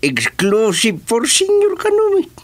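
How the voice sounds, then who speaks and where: A male actor with an Ilocano accent playing an excitable, joking village senior in a rural comedy radio drama. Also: very high-pitched, a little slow, exaggerated voice. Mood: teasing, amused. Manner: teasing, animated, colloquial.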